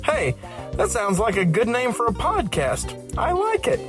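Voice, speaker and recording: A man's voice: extremely high pitch, huge pitch swings, a little slow. A man co-hosting a podcast, reading a scripted promo with bantering turns. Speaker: podcast co-host. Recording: music bed underneath.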